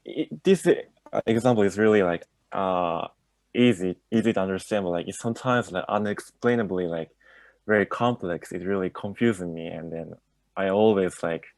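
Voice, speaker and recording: Young male student, lowish voice, hesitant, filler-heavy; dry room. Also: moderate pace, gravelly, darkish tone, dry recording. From Mongolia. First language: Mongolian. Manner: hesitant, fills with "like" and "uh". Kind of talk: classroom discussion.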